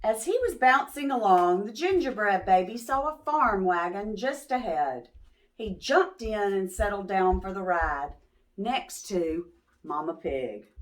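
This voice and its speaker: A woman reading a picture book aloud to young children as host, expressive and pausing to ask questions. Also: medium pitch.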